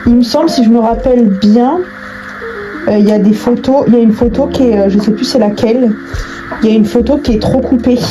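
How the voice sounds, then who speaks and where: A woman's voice: fairly high pitch, a little fast, poor audio. A woman chatting informally to viewers as she does a craft, hesitant and often repeating herself or trailing off. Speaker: video host doing a craft tutorial. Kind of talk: casual chat to viewers while crafting. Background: background music.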